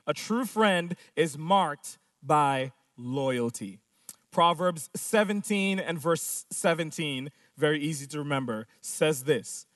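A man with a high voice preaches a sermon, stressing his points by repeating phrases and firing off rhetorical questions. He is recorded in a dry space, a little off the mic. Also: a bit bright, no room sound, far from the mic. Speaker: pastor.

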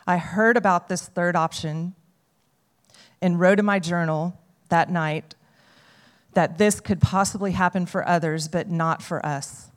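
A woman reading a prepared personal testimony to a group, in an earnest, measured way. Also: fairly low pitch. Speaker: speaker sharing a testimony. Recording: long hall reverb.

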